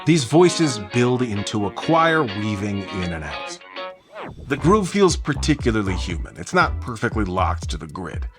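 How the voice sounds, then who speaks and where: Man, music commentary host, lively varied intonation, emphatic, conversational, music underneath. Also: lowish voice, a little slow. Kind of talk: enthusiastic video essay commentary on an album.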